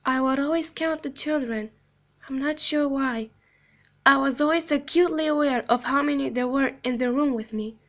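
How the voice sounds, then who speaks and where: A woman voicing an eerie animatronic girl clown from a video game, soft-spoken, falsely sweet and sinister, speaking in a slow, deliberate, hushed storytelling manner. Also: sits high. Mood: wistful, eerie. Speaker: amateur voice actor. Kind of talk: video game character monologue.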